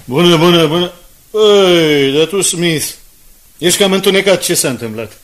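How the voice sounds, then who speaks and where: Radio drama role: brash, scheming newspaperman, persuasive and emphatic, lighter high-set male voice. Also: animated. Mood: breezy, curious. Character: brash, confident newspaperman scheming against a rival. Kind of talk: 1980s Romanian radio drama acting.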